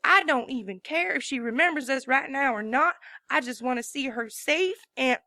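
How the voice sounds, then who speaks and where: Determined farm pony mare voiced by a woman, folksy talker, high and bright, dry. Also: animated, normal speed, no room sound, exaggerated voice. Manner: folksy, explaining at length. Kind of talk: fan audiobook reading.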